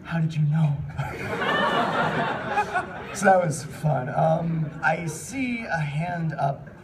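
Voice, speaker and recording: A man casually hosting a live convention panel, chatting in an animated, jokey, informal way. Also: a little high, very slow. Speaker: panel host. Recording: distant mic in noisy convention room.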